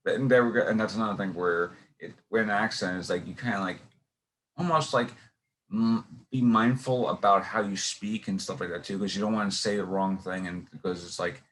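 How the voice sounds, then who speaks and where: A man with a Brooklyn accent hosts a podcast in a casual, rambling way, often circling back and restarting his questions. His voice sits somewhat low. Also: steady tone, brisk, a bit bright, slight background noise. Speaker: podcast host.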